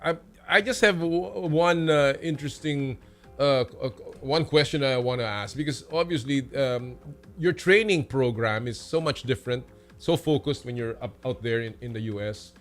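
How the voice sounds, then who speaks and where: A man with a Filipino accent hosting a live interview, framing questions conversationally in a halting way with repeated words. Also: mid-range voice, faint music behind. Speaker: talk show host.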